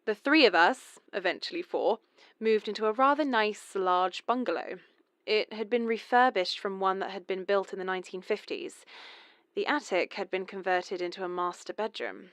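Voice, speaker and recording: A woman's voice: mid-range voice, normal speed, fairly bright tone, dry recording, lightly acted. A woman playing a mother calling a radio show, telling her family's story in a hesitant, confessional way. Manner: confessional, hesitant, nervous laughs. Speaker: caller. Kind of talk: horror radio drama monologue.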